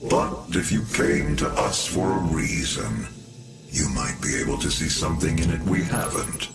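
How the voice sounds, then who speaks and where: A man playing a calm, calculating research director in a video game, gravelly and measured, giving instructions with grave authority. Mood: calm, instructing. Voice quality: deep, gravelly. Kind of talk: video game cutscene acting. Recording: echoing, loud music behind.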